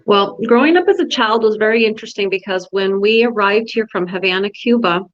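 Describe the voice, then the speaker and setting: Woman guest on a faith podcast, relaxed, lots of ums, audio a bit dull like a remote call. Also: mid-range voice, fairly quick. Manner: easygoing, laughs lightly, hesitant fillers. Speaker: guest sharing her testimony. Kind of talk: casual interview answers.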